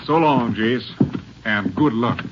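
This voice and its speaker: An American actor playing a brisk, practical Texas Ranger captain with a folksy, matter-of-fact way of talking, in 1950s radio drama style. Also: male voice, mid-range voice, very noisy, lightly acted. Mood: businesslike. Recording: dull vintage radio recording.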